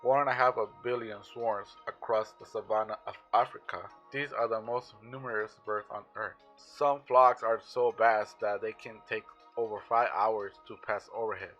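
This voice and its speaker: A man playing a measured TV documentary narrator, reading scripted lines, with dull lo-fi sound. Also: fairly low pitch, steady tone, fairly bright tone, faint music behind. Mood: measured, informative.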